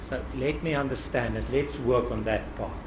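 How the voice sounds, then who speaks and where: A man with a South African accent questioning a witness in court in a probing, deliberate way. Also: mid-range voice, steady tone. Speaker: lawyer cross-examining a witness. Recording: noisy, ambient courtroom recording.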